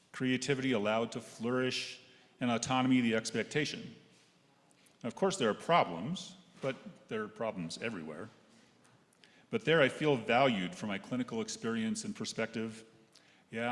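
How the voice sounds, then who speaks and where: A middle-aged man giving a wry, hesitant personal reflection to a congregation. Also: mid-range voice. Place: large echoey indoor church space, seated. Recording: echoey hall with noticeable background noise.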